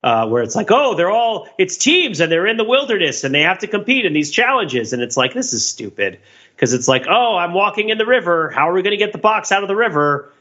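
A man hosting a podcast, chatting casually about TV in an animated, riffing way. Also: high voice, brisk, dull audio. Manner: animated, riffing, acts out hypothetical quotes. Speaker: podcast host. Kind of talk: casual TV commentary chat.

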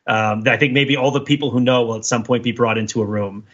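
Bright-voiced man podcasting, slightly lo-fi. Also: medium pitch, steady tone, quick pace. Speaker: podcast host. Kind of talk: casual analytical discussion of a TV show.

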